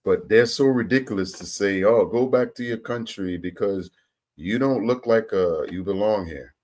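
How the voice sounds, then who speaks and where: A man's voice: fairly low pitch, normal speed, darkish tone. A man hosting a reaction video, talking loosely and repeating himself. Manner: loose, talkative, repeats words and uses slang. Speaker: host reacting to clips. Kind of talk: casual reaction commentary.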